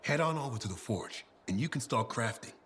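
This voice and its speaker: A man's voice: mid-range voice, expressive, fairly quick, a bit bright, slight background noise, lightly acted. An actor playing a boastful male merchant who sells custom gear, speaking in colorful salesman patter. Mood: salesy. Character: boastful male weapon decal merchant, showman. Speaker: craftsman merchant. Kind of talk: video game dialogue.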